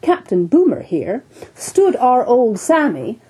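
A woman's voice: on the high side, wildly swooping pitch, slow, dark tone, slightly rough, pitched above the performer's own voice, exaggerated voice. A woman voicing a sober, formal English ship's surgeon in a storybook reading. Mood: measured. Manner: formal, unruffled, precise.

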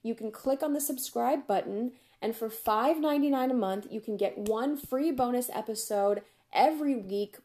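A woman hosting a casual solo podcast, chatty and upbeat with frequent laughs, her voice going hoarse. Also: medium pitch, a little mellow. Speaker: podcast host.

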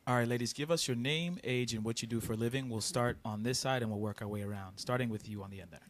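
A man from Pittsburgh co-hosting a podcast, firing quick, flirty questions at the guests. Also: mid-range voice, no room sound. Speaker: podcast co-host. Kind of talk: casual podcast chat.

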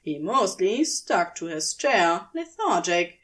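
Slow, lively female story narrator. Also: fairly low pitch. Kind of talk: scripted fan fiction narration.

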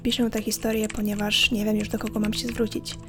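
A woman narrating a scripted horror story as a podcast host, in a measured, hushed, suspenseful way. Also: mid-range voice, little pitch movement. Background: faint music.

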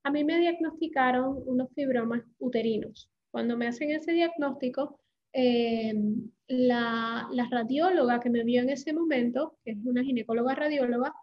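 High-voiced woman, light Puerto Rican accent. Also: normal speed, muffled recording. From Puerto Rico. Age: 40s. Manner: earnest, fluent, with many fillers. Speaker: guest, creativity coach. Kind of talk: casual podcast interview.

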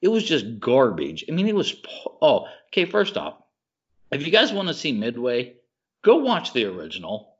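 A man's voice: mid-range voice, wildly swooping pitch, normal speed, slightly rough, dull audio. An American man co-hosting a podcast, chatting casually in a blunt, emphatic, slangy and opinionated way. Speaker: podcast co-host. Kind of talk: casual chat about movies and TV.

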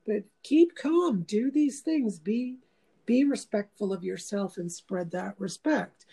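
A woman's voice: medium pitch, animated, takes it slowly, creaky, mellow, breathy edge. A woman hosting a casual chat, talking in a meandering, reflective way with many fillers. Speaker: host.

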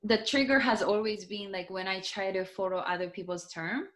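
A woman with a Japanese accent, whose first language is Japanese, answers interview questions as an author. Her pitch sits in an ordinary middle range for a woman. She talks in loose, rambling restarts full of fillers. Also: steady tone, normal speed, a bit bright. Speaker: guest, author. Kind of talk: casual conversational interview.

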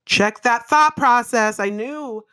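A woman with a deep, raspy voice hosting her own podcast, talking casually, laughing often and swearing freely. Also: takes it slowly, sharp tone. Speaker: podcast host. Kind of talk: casual solo podcast monologue. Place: home bedroom. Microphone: microphone on a stand, held in hand.

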